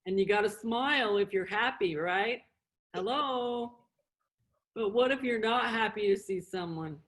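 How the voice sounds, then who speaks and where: A middle-aged woman instructs beginners at a slow, measured pace. Her pitch sits in the middle for a woman. She uses short, simple directions, repeats key words and often checks in with a question. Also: a bit bright. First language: English. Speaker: sign language interpreter and instructor. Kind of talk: hands-on beginner lesson and informal explanation.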